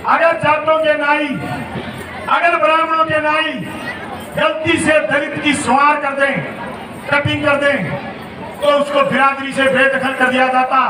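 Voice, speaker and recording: Man, legislator addressing an outdoor crowd, very high-pitched and declamatory, booming over PA echo from afar. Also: recorded from a distance. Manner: rousing, declamatory, often shouting. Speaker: member of state legislature. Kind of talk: public speech at Independence Day ceremony. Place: outdoor public ceremony ground. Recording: echoey loudspeaker sound from afar, crowd noise.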